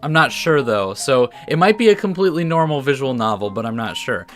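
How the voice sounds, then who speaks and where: A man's voice: medium pitch, very animated, a little fast, fairly bright tone. Man doing a let's play over guitar music. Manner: casual, stumbles over words when reading aloud. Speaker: gaming video host. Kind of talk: let's play commentary mixed with reading story text aloud. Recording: music under the voice.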